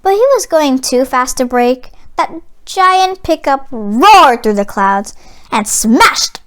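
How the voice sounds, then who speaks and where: Woman storyteller, high voice, very animated intonation, slow pace, children's book narration. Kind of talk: expressive scripted storybook narration.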